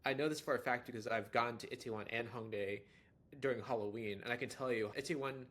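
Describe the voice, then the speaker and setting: A man's voice: medium pitch, quick pace, fairly bright tone. A young adult man with a General American accent, talking casually to camera and rambling through fillers and restarts. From United States. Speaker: dating vlogger. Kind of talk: casual talking-head monologue.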